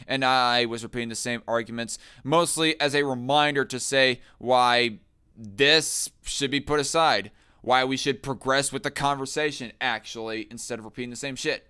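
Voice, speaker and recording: A man on a livestream who sounds bright and forceful, with a very clean, resonant voice. He argues and mocks in crude, casual commentary. He reads chat comments aloud as he goes. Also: medium pitch, clear, resonant tone. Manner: crude, mocking, argumentative. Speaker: livestream host.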